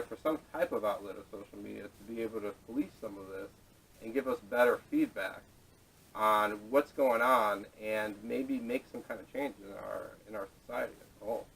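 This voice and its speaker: A man's voice: medium pitch, normal speed, fairly bright tone, husky. A man hosting a casual panel discussion, talking in a hesitant, rambling way, picked up by a camera microphone. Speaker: podcast host. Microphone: distant camera microphone. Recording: distant camera audio, noisy.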